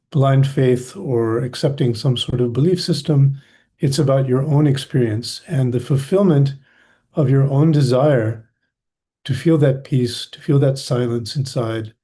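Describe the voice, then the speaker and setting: An older man from the USA introducing a meditation class in a gentle, explanatory way. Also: mid-range voice. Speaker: meditation instructor.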